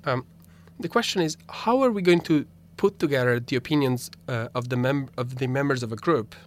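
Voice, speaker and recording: A man's voice: mid-range voice, fairly quick. A young man, a native Italian speaker with an Italian accent, explaining his philosophy research as a radio interview guest, thoughtful, with frequent restarts. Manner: thoughtful, many restarts and fillers. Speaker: philosophy graduate student guest.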